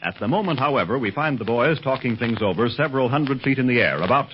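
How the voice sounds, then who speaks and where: A male announcer narrates a vintage radio serial with formal drama. His medium-pitched voice moves in lively swings, on a muffled old recording with faint noise. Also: fast talker.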